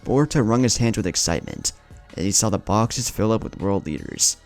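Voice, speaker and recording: A man with a very low, creaky voice delivers dramatic story narration. His delivery swings widely in pitch, and music plays underneath. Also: wildly swooping pitch, a little fast, a little mellow. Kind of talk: dramatic scripted narration of fan fiction.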